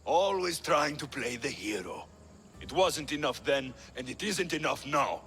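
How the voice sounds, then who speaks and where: An actor playing a bitter, menacing young warrior in a video game, proud and wounded, with an intense, taunting delivery. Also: male voice, fairly high pitch, expressive, measured pace, a bit bright, slight background noise. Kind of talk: video game cutscene acting.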